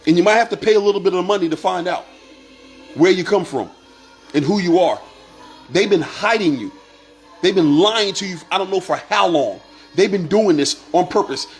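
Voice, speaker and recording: Fired-up man preaching to viewers. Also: fairly high pitch. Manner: preachy, repetitive, rising to shouts. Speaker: online commentator. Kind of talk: impassioned monologue to viewers.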